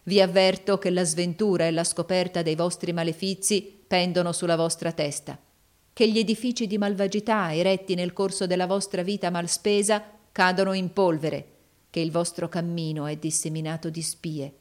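A woman voicing a righteous, defiant young gentleman in an audiobook novel, emphatic, declamatory and commanding. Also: on the low side, lightly acted. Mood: stern condemning.

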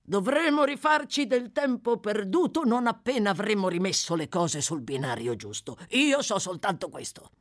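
A woman's voice: medium pitch, animated, moderate pace, few pauses, pitched above the performer's own voice, natural voice. A woman voicing a brutal, short-tempered male thief in an audiobook, with a brusque, bullying, sneering manner. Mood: gruff, sardonic.

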